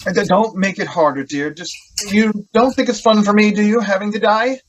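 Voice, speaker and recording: An actor playing an idealistic, excitable young poet in a radio drama, earnest and theatrical with halting phrasing. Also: male voice, high-pitched, a little hiss or hum, natural voice. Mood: strained, anguished.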